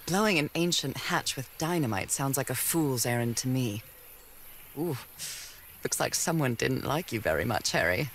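A woman's voice: extremely low pitch, lively intonation, normal speed, slight background noise. An actress playing a curious 1930s woman explorer in a video game, musing to herself in a thoughtful, wry way. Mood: wry, pondering. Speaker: game protagonist narrator. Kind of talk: scripted musing monologue. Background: background music.

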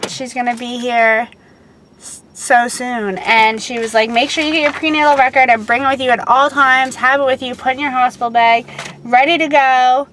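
A pregnant woman in her twenties chatting excitedly to her phone camera from inside a car. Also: high voice, a little hiss or hum, low-quality recording. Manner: excitable and chatty, rushing through updates. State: heavily pregnant. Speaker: vlogger. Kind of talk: casual vlog talk to camera. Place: inside a parked or moving car. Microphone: phone at arm's length.

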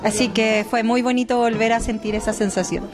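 Fairly high-voiced woman. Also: level tone, brisk, over music. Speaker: dancer and teacher. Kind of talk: informal interview answers. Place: small backstage room. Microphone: handheld microphone held by interviewer.

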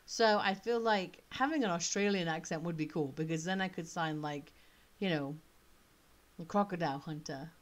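Woman, slight British accent, chatty, thinks out loud, lowish voice, webcam in a small room. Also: normal speed. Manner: chatty, thinks aloud with many asides. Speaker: author playing a choice game. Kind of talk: casual commentary.

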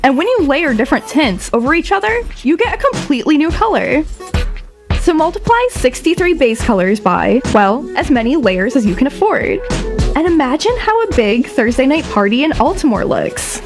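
Female narrator, higher register, playful scripted storytelling, music bed underneath. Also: very animated, moderate pace. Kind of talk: scripted audio drama narration.

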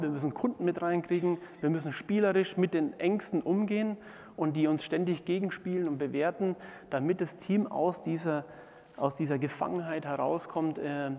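Man, middle-aged, slightly high-pitched, gravelly creak, halting keynote delivery with pauses. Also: darkish tone. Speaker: keynote speaker, management consultant. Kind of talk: conference keynote presentation. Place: large indoor conference hall, on stage before seated audience. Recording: reverberant conference hall.

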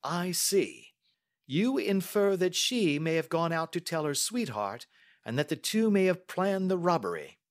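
A man voicing a brisk, astute Victorian gentleman detective with crisp, courteous questioning, in audiobook style. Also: fairly high pitch, very animated, voice pushed up. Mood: probing. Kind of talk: audiobook character acting.

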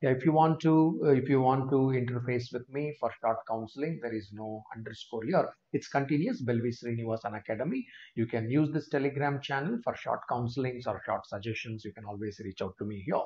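A man's voice: mid-range voice, brisk, darkish tone. Indian man teaching a live online class. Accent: Indian English. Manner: chatty, checks in with viewers by name. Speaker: test prep teacher. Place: small room at a desk. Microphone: webcam corner of screen share. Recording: slightly dull lo-fi stream audio.

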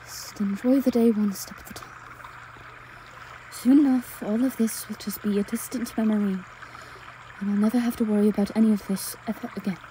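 A woman playing a desperate, tender young woman on the run with her lover in an old-fashioned amateur audio drama, halting and repeating her words. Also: mid-range voice, steady tone, warm tone, lightly acted. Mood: quiet, sorrowful. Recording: noisy ambience sound effects behind voice. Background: forest, stream and dungeon ambience effects.

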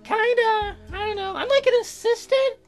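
Woman putting on a very high, flustered girlish heroine. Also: soft background music. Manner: squeaky, halting, excitable. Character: eager, flustered young heroine, sweet and girlish.

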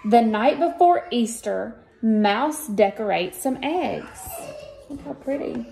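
A woman's voice: a little high, lively intonation, slow pace, vocal fry, mellow. An American woman in her thirties reading a picture book aloud and chatting casually to children, with sing-song emphasis. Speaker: read-aloud host. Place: small room at home. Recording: roomy echo, faint background noise.

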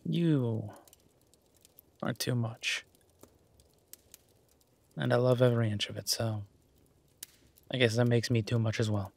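A man playing a tender, teasing, easygoing werewolf boyfriend in an intimate romantic audio roleplay, murmuring softly with a husky voice. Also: medium pitch, steady tone, slow, pauses often. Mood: playful, affectionate.

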